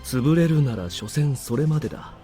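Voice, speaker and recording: A man acts a male army major in a visual novel, blunt and wryly dismissive. His delivery is very rapid and his tone soft-edged and dark, with music playing underneath. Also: fairly high pitch, expressive, natural voice. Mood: cool, firm. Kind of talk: Japanese visual novel voice acting.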